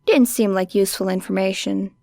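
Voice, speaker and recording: A woman's voice: medium pitch, fairly even intonation, a little fast, creaky, darkish tone, no room sound, clean studio sound. A woman reading a story expressively as an audiobook narrator. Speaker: audiobook reader. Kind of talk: expressive scripted fiction narration.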